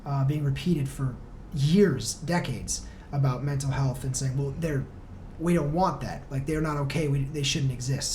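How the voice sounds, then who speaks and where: A man's voice: medium pitch, a little mellow, slight background noise. A man talking casually as a podcast guest, earnest and reflective, feeling his way through his phrasing. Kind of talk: casual conversational interview talk.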